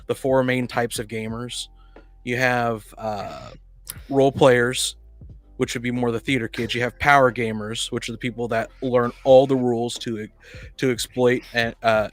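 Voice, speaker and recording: A man speaking haltingly as he discusses tabletop games on a podcast, often repeating and rephrasing himself. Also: medium pitch. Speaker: podcast panelist.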